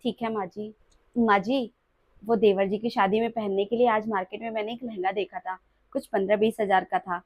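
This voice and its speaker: A woman voicing a gentle young daughter-in-law in a family drama skit, polite and soft-spoken. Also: medium pitch, fairly even intonation, fairly quick, dry recording, natural voice. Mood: meek.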